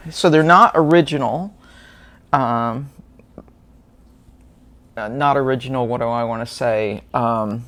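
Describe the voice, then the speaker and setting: A woman, hosting a book channel and chatting casually through an unboxing with fillers and small laughs. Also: rumbling low. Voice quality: slightly gravelly.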